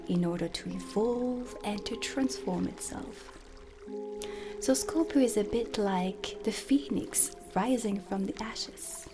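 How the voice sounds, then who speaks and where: A woman gives an ASMR lesson in the role of a dark witch teacher. Her delivery is very unhurried and breathy, slipping between soft speech and whispering, with deliberate pauses. Ambient music plays clearly behind her. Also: mid-range voice.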